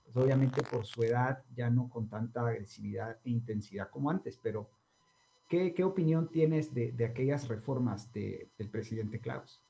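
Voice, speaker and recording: A man's voice: mid-range voice, moderate pace, gravelly, mellow, slight background noise, poor audio. A man with a Guatemalan accent interviewing a guest, rambling with many fillers and tag questions. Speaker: interviewer, channel host. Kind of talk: casual interview.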